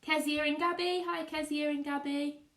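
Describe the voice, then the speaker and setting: A woman, a teacher, giving an expressive live read-aloud of a children's story and chatting to her listeners. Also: high-pitched, moderate pace. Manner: expressive storytelling with character voices. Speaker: teacher reading to pupils online. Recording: echoey room.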